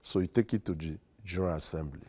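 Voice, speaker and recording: A man with a Nigerian accent, a lawyer and guest on a studio interview, speaking in a formal, deliberate and emphatic way. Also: low voice, dark tone, slightly rough. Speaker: guest, lawyer and secretary general.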